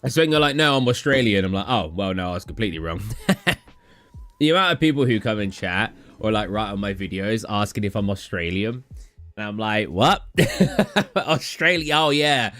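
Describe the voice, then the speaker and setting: Tired, rambling young streamer. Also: male voice, fairly low pitch, expressive, normal speed, a bit bright, dry recording. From southern England. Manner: rambling, chatty, answers chat questions. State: tired, low energy. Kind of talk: casual live-stream Q&A chat.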